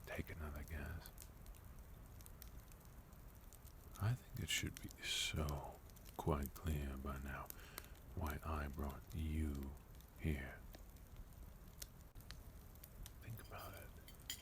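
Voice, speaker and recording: A man playing a sophisticated, courteous villain leader in a soft-spoken, gently teasing ASMR roleplay. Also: a bass voice, slow, background noise. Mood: low, coaxing. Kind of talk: ASMR roleplay, intimate scripted monologue to listener.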